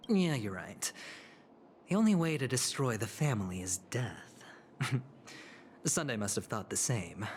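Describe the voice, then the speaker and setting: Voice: medium pitch, very animated, unhurried, pauses often, a little hiss or hum. An actor voicing a playful, mocking, theatrical trickster in a video game. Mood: teasing, amused. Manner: mocking, amused, dramatic.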